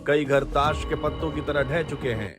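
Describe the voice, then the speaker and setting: Cartoon breaking-news anchor, played by a man: grave, sensational, slightly high voice, clear music bed. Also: moderate pace, close to an everyday voice. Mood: grave alarm. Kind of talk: dramatic breaking-news reading.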